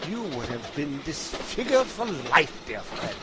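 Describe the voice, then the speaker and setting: A man's voice: on the high side, big pitch swings, a little slow, heavy background noise. A man voicing an eccentric, showy detective in a comedic audio drama, speaking in a plummy, theatrical manner. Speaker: voice actor in a fan audio drama.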